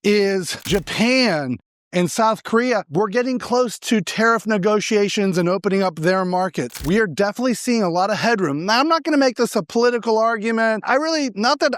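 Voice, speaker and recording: A man's voice: high voice, dry recording, clean studio sound. A man from Argentina speaking as a real estate agent, explaining things informally and emphatically, posing questions and answering them himself. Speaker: real estate agent giving market advice. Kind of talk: informal explanatory monologue to camera.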